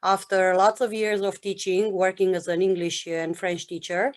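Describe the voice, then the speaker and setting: A woman's voice: medium pitch, little pitch movement, moderate pace. A woman from Romania, a student and former teacher, introducing herself hesitantly with many "um" fillers. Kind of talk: self-introduction.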